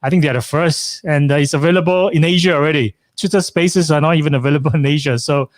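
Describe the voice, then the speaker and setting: A man's voice: a little high, fast, no echo, clean studio sound. A man hosting a casual interview chat, talking in a chatty way with many fillers and asking questions. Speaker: event host.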